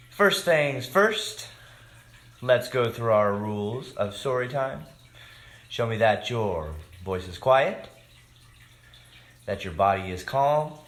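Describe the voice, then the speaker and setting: A man reading a picture book aloud to young children, expressive, with casual asides to his listeners. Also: medium pitch. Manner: expressive, simple addresses to children. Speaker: children's story time reader. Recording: echoey room.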